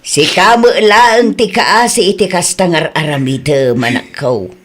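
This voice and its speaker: A woman's voice: lowish voice, measured pace. A woman with an Ilocano Filipino accent playing a scolding, advising mother in a radio comedy drama. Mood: exasperated, scolding.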